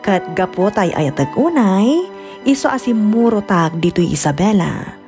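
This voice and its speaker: A woman playing a letter writer near fifty, narrating her life in a measured, reflective Filipino-accented radio drama voice. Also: mid-range voice, big pitch swings, music in the background.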